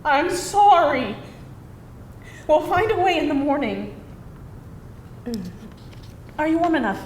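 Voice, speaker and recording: A woman's voice: sits high, big pitch swings, takes it slowly, a little mellow, heavily acted. A woman playing a worried, soothing granddaughter in a live screenplay table read. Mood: gentle, strained. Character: worried granddaughter caring for frail grandfather, also as child. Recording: roomy, faintly noisy live stage reading.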